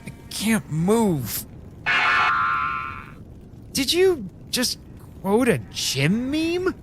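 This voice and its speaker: A man playing a sardonic crash survivor in an audio drama, narrating his own story with wry, theatrical, deliberate delivery. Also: medium pitch, big-room sound, slight background noise, natural voice. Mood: earnest, bewildered. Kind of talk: first-person audio drama narration and dialogue.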